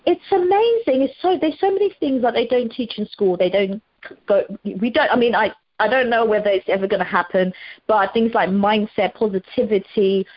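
A woman's voice: medium pitch, moderate pace, no echo, dull audio. A middle-aged woman talking about her path into teaching in a casual interview, enthusiastic, often restarting with fillers. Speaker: guest, former primary teacher.

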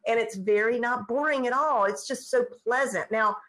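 A woman's voice: on the high side, moderate pace, fairly bright tone. A woman guest on a podcast who creates online courses, talking in a chatty way with frequent ums and uhs. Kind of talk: podcast interview.

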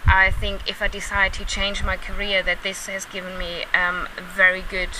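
A woman in her late twenties speaks with a German accent at a medium pitch, answering reflectively as an interview guest. She sounds away from the mic, with very loud noise and clicks in the recording. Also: completely flat delivery, normal speed. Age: late 20s. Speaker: interview guest, volunteer lecturer. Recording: very noisy, distant mic, clicks.

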